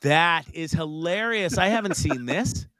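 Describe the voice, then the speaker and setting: A man's voice: on the high side, expressive, measured pace, bright, forceful tone, no echo. Congested Canadian man chatting, close dry sound. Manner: slangy, joking, blunt. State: sick with sore throat, congested. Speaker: podcast host. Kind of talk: casual chat, swearing freely.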